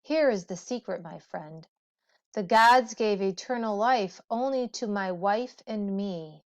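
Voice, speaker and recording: A woman reads as a wise, immortal old man from a storybook legend. The words come slowly and solemnly, with calm authority. The audio is a little dull. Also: medium pitch, natural voice. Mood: solemn, instructive.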